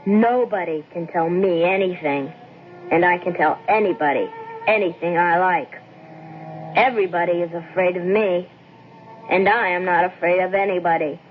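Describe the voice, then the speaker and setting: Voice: medium pitch, normal speed, close to an everyday voice. An actor playing a domineering small boy who boasts like a tyrant god in a radio drama. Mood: boastful, commanding. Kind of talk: 1970s radio drama acting. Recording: lo-fi old radio broadcast, faint music.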